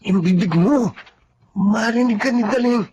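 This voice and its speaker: A man's voice: high voice, measured pace, a little mellow, highs cut off, slight background noise. An actor playing Noli, a resentful spoiled young man who uses drugs, in a radio drama. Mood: sullen.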